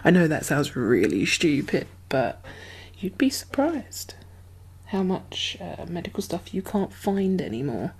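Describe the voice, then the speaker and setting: A woman playing a gentle, chatty medic in a zombie apocalypse ASMR roleplay, speaking softly and hesitantly with a reassuring manner. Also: lowish voice, very animated, a little slow, breathy edge, heavily acted. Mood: gentle concern. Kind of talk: soft-spoken, whispered ASMR roleplay.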